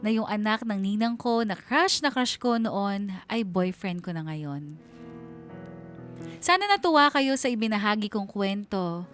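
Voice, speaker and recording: Actress as chatty, lovestruck young Filipina narrator, music underneath. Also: female voice, mid-range voice, animated, a little slow, fairly bright tone. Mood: happy, grateful. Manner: chatty, gushing. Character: giddy, lovestruck young woman telling her story. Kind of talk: dramatized letter narration.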